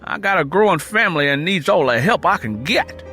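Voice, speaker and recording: Man acting hot-tempered growling Southern father, high-pitched. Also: expressive, a little fast, pushed and bright, husky, faint music behind, exaggerated voice. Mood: suspicious. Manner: folksy rural dialect, blustering. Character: hot-tempered hard-drinking rural father, gruff and suspicious.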